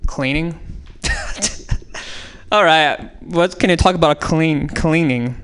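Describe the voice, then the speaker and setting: A man's voice: on the high side, lively intonation, slow, a bit bright. A man from China with a Chinese accent, improvising comedy bits on given topics in a rambling way, full of fillers and restarts. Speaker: comedian riffing on prompts. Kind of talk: improvised comedy on audience prompts. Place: radio studio.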